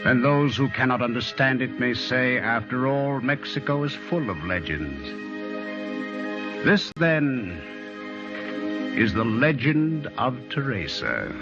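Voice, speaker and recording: An actor playing a wistful plantation owner who narrates his memories in a dramatic, reflective 1940s radio style with lingering pauses. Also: medium pitch, sing-song. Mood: anguished, wistful. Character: grieving, wistful male plantation owner recalling a lost legend. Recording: dull old radio recording with music.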